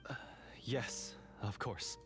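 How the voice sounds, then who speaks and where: A man's voice: slow pace, over music, natural voice. A man playing an earnest, contrite young hunter in a video game, speaking humbly, formally and sincerely. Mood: grateful, solemn.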